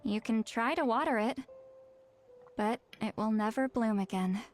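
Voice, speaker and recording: A woman voicing a gruff, lonely sorceress who has lived for centuries, talking slowly with sighs, in a video game. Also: on the high side, lightly acted. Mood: wistful. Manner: slow, sighing, reflective. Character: ancient young-looking sorceress, gruff and lonely. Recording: game audio with faint background music.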